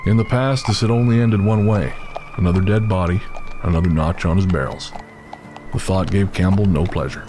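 Man, narrator, steady, measured, very low voice, music underneath. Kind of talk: scripted horror story narration.

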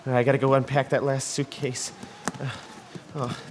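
An actor playing a meek, nervous middle-aged husband, a washed-up talk show host who stammers through a comic audio drama. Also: male voice, mid-range voice, flat delivery, moderate pace, background noise, natural voice. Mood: nervous muttering.

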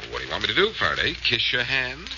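An actor playing a suave, wisecracking detective hero with wry, teasing banter and an American accent, in 1940s radio drama style. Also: male voice, mid-range voice, wildly swooping pitch, fast talker. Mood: wry, taunting. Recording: muffled, noisy old radio recording.

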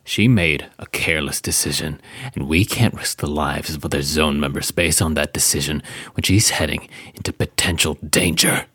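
A man playing a stern, middle-aged guard commander in an audio drama, speaking formally and with authority in a husky voice. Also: extremely low pitch, normal speed, a bit bright, no room sound, clean studio sound, heavily acted. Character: stern male gate guard commander, authoritative, rule-bound.

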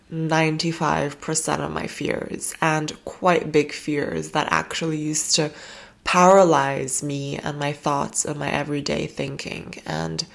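A woman hosting a self-help podcast, talking casually and affectionately with fillers, while she has a cold. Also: low-pitched. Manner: gentle, affectionate, rambling with fillers. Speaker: podcast host. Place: home in Germany.

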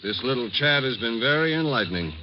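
A man's voice: medium pitch, expressive, brisk, background noise, lightly acted. An American man playing an evasive, coolly polite college student under suspicion in a 1950s radio drama. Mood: falsely casual. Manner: guarded, falsely casual. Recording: dull old radio recording with music.

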